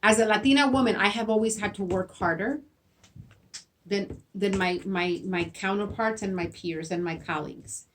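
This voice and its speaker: A woman's voice: medium pitch, normal speed, slight background noise. A middle-aged woman whose first language is Spanish, a school principal answering interview questions earnestly, often adding "right?" and repeating words as she thinks. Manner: earnest, repeats herself, frequent "right?" tags.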